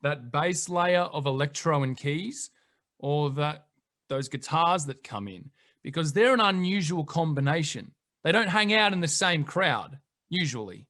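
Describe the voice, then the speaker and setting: A man's voice: a little high, lively intonation, measured pace, a bit bright. A man interviewing a band, asking questions in an upbeat, friendly way. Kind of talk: music interview.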